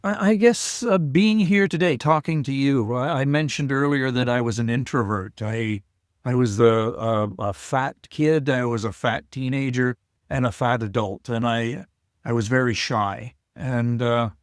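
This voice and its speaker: An older man, a guest advocate, telling his story in casual interview talk, halting and shy with frequent restarts. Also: medium pitch, no room sound, clean studio sound.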